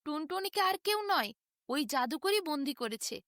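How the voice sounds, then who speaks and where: Woman voicing a timid, high-pitched little squirrel. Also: animated, normal speed, a bit bright, dry recording, clean studio sound. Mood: frightened warning. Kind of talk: storybook animal character voice.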